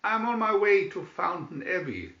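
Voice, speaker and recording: Guy doing a cocky outlaw hero, brash n commanding, high bright voice, storybook adventure, roomy. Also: sing-song, moderate pace. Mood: confident, commanding. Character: bold, cocky young male outlaw hero. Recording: slightly dull recording in echoey room.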